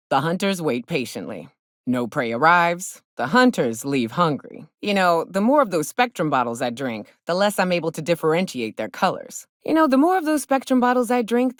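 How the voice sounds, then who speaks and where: An actress playing a tough, wry mercenary hunter in a video game, with a husky, raspy voice and a casual, dry manner. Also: female voice, lowish voice, no room sound. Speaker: companion character in a video game. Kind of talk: video game voice acting, short barks and banter.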